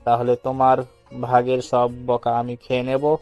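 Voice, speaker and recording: A man's voice: mid-range voice, monotone, unhurried, clear, resonant tone, muffled recording, faint music behind, lightly acted. A man playing a playful, mischievous young man who teases and coaxes in a romantic audio story. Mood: mischievous, reassuring. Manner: coaxing, teasing.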